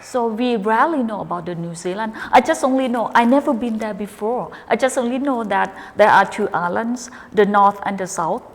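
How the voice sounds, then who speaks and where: A woman's voice: mid-range voice, moderate pace, few pauses, long reverb tail. A woman hosting a casual radio interview, asking simple questions in plain, non-native phrasing and filling the gaps with short encouraging sounds. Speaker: radio host.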